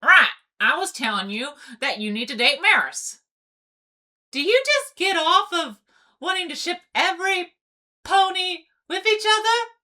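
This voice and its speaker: A woman's voice: very high voice, animated, a little slow, sharp tone, heavily acted. A woman voicing a bossy, teasing pony sea captain with pirate-style speech in a cartoon comic dub. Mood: coaxing, assured.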